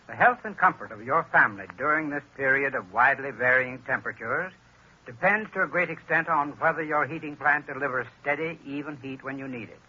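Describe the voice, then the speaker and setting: A man reading a scripted radio advertisement in a measured, instructive way. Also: medium pitch, pushed and bright, breathy edge. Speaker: sponsor spokesman. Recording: dull lo-fi old radio recording.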